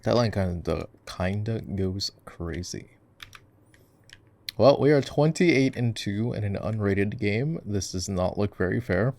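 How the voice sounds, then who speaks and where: A man with a low voice and heavy vocal fry, chatting casually as he plays. He is laid-back and teasing, coaching like an encouraging gamer boyfriend in an ASMR roleplay, with keyboard and mouse clicks behind him. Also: expressive, slow, a little mellow. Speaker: voice actor playing a gamer boyfriend, coaching a game. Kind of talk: casual ASMR roleplay chat while gaming.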